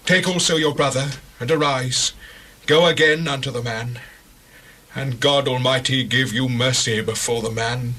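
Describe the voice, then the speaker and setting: Old father, biblical audio drama, sorrowful, solemn, sharp bright tone, slightly raised pitch. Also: poor audio. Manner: solemn, lamenting. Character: grieving, protective old patriarch father of many sons. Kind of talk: dramatized scripture reading in archaic English.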